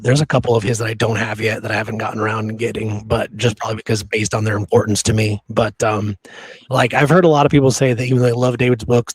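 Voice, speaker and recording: A man's voice: fairly low pitch, husky, no echo, poor audio. Man casually talking history, close dry sound. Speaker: history podcast host.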